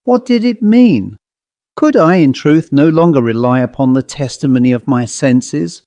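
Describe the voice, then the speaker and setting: A man narrating a Victorian ghost story as a scripted audiobook, in a measured, dramatic storytelling manner. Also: a little high, animated, warm tone, no echo.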